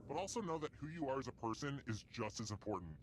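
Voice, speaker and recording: A man's voice: medium pitch, lively intonation, fast, background noise, lightly acted. An actor playing a sardonic, theatrical male host of a macabre trivia video game. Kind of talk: scripted game show hosting.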